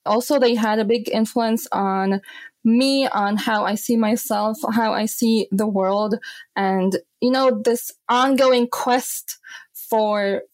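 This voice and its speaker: A woman's voice: fairly high pitch, a little mellow, no echo. A woman, speaking as a guest in an interview. She answers in a thoughtful, reflective way and often says "you know". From Austria. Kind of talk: conversational interview.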